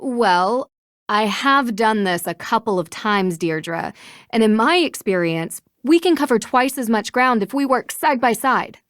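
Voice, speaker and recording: An actress voicing a composed, inquisitive young American woman detective in an adventure game, politely probing and gently teasing. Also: on the high side, animated, a little slow, no room sound, clean studio sound. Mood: patient, wry. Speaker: video game protagonist, voice actress. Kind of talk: acted dialogue in an adventure game.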